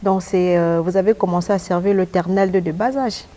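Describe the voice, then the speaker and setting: A woman's voice: on the low side, steady tone, a little fast, dark tone, slight background noise. A woman, speaking as a courteous, formal TV host in a religious interview.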